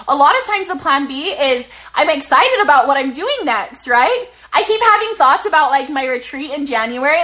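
Bright high-voiced young woman coaching. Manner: energetic, swearing, motivational. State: slightly losing her voice. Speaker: business coach. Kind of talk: casual live stream talk. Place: bedroom, sitting on her bed.

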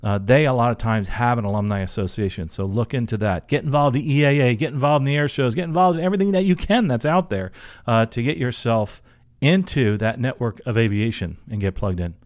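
A man's voice: medium pitch, brisk. A man hosting a podcast, giving career advice and answering listener questions in an informal, chatty, conversational way. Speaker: podcast host and career coach.

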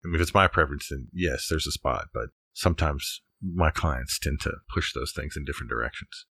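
A man's voice: very deep voice, fairly quick, creaky, sharp tone. A man co-hosting a casual podcast conversation as an architect. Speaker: podcast co-host.

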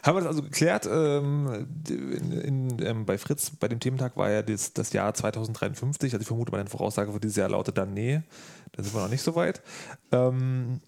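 A man's voice: mid-range voice, a little fast, gravelly, a little mellow. A man hosting a podcast in a casual, wry way, asking loose, rambling questions. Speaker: podcast host. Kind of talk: casual conversational interviewing.

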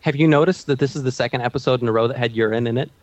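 A man's voice: mid-range voice, rapid-fire, dull audio. A man chatting casually as a podcast panelist, with wry, self-mocking humour. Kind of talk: casual TV recap chat.

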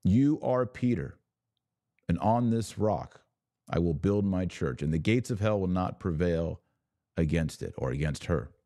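Low-voiced man, mellow religious commentator. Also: lively intonation, measured pace, no room sound, clean studio sound. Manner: emphatic, didactic, explaining by analogy.

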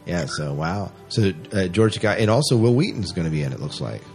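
Low-voiced man hosting a casual podcast. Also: sing-song, brisk, a little mellow, soft background music. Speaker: podcast host. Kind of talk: casual chat.

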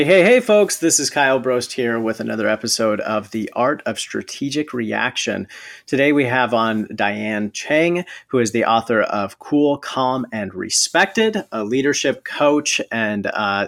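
A man hosting a podcast interview, welcoming his guest in an upbeat, animated way. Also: medium pitch, no echo, studio quality. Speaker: podcast host.

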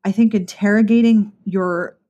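A woman's voice: mid-range voice, steady tone, moderate pace, dark tone, highs cut off. A woman hosting a podcast interview in a talkative, thoughtful, casual way. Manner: thoughtful, talkative, frequent restarts. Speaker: podcast host.

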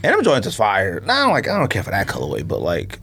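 Slangy man with lively, swinging pitch. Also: fast, creaky, breathy edge. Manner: slangy, informal. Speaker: podcast host. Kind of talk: casual sneaker podcast chat.

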